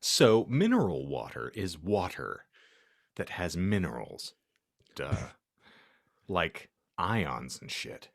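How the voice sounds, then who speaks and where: A man's voice: deep voice, sing-song, slow, gravelly, long pauses, voice pushed down, full character voice. A man voicing a bratty, foul-mouthed teenage goth girl in a comedic fan fiction reading, slangy, indignant and melodramatic. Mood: smug lecturing.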